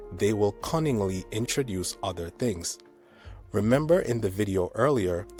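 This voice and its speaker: A man from Jamaica giving earnest, admonishing religious commentary in the manner of a scripted teaching. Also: fairly low pitch. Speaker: religious teacher and video host.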